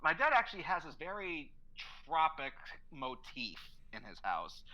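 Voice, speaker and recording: A man's voice: fairly high pitch, big pitch swings, a little slow, pushed and bright, muffled recording. A man in his mid-thirties co-hosting from his father's house, chatting in an easygoing, storytelling way over a remote call. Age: 30s. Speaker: co-host.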